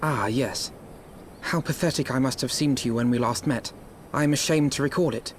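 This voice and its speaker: A man's voice: mid-range voice, normal speed, slight background noise, natural voice. An actor playing an earnest, formal young male scholar in video game voice acting. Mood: ashamed.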